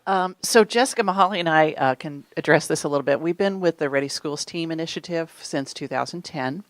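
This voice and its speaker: A woman's voice: sits low, brisk, no echo. A woman, an early childhood program coordinator, explaining her team's work to a conference audience in a plain manner with fillers. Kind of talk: answering an audience question. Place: conference room.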